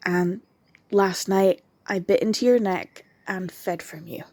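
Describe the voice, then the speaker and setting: A soft-voiced vampire girl. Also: on the low side, no room sound. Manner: soft, intimate, slips into whispers. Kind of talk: ASMR roleplay.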